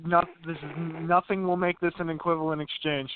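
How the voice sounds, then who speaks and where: A man's voice: fairly high pitch, little pitch movement, fairly quick, phone-quality sound, a little hiss or hum. A man co-hosting a casual talk show, joking along with brief quips and playfully squeamish reactions. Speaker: radio show co-host.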